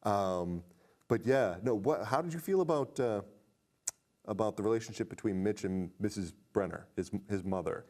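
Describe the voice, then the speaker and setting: A man's voice: fairly low pitch, normal speed, darkish tone. A man hosting a casual film discussion, talking in a rambling way full of asides and questions. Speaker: podcast host.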